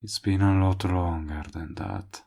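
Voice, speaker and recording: A man playing a smug but tender villain in love, speaking intimately to the listener in a romantic audio roleplay, with a low, husky voice. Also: fairly even intonation, dark tone, full character voice. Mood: wistful, awkward. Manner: slow, murmuring, teasing. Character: lovestruck male villain, smug yet tender, persistent suitor. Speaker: voice actor.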